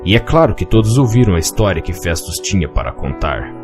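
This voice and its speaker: A man's voice: low voice, sing-song, fairly quick. A man with a Brazilian accent, narrating a scripted fantasy tale as a dramatic storyteller. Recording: music behind the voice. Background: musical backing.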